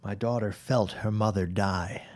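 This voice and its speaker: A protective father and loyal neighbor, played by a man in a period audio drama. His voice sits low, and he comes across as resolute, a man set on guarding his own and warning his friend. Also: fairly even intonation, measured pace, natural voice. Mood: troubled, concerned.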